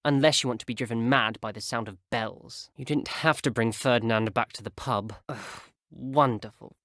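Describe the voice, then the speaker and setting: A man's voice: medium pitch, normal speed, a bit bright, no room sound, clean studio sound, lightly acted. A man playing a chatty young British schoolboy wizard. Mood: wry, chatty. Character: chatty teenage schoolboy wizard, wry and opinionated. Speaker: game voice actor. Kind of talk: scripted video game character barks.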